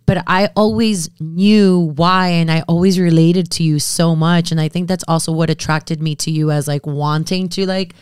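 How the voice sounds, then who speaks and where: A young woman from Miami talks openly as co-host of a relaxed chat show, dropping Spanish words into her English. Her voice sits a little low for a woman and comes through smooth and clear. The recording is dry and close. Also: normal speed, few pauses, no room sound. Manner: candid, switches between English and Spanish. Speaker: podcast co-host. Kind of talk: casual chat.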